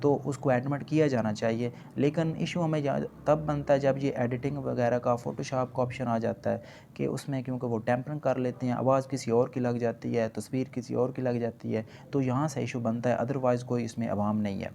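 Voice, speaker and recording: Gravelly Pakistani man giving a lecture. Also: mid-range voice, fairly even intonation, dark tone, slight background noise. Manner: code-switches into English terms, slow deliberate reading of quoted points. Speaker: law lecturer. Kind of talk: explanatory lecture, reading out points and explaining them.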